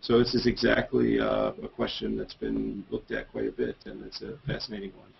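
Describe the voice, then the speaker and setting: Man, gravelly husky voice, measured explanation, muffled webcam call. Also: fairly low pitch, big pitch swings, slight background noise. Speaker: course instructor. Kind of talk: explanatory answer in a Q&A. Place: office with painting on wall. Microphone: webcam mic on video call. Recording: muffled video-call audio.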